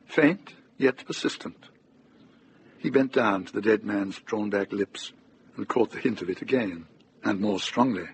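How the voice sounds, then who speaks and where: An actor narrating as a grave, measured storyteller in a scripted radio drama. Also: male voice, on the low side, slight background noise, poor audio. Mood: measured, dry.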